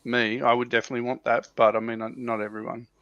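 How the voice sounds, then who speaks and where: A man's voice: medium pitch, flat delivery, fast talker, fairly bright tone, dry recording. A man on a livestream panel talking casually about a hobby, heard through a dull, lo-fi microphone.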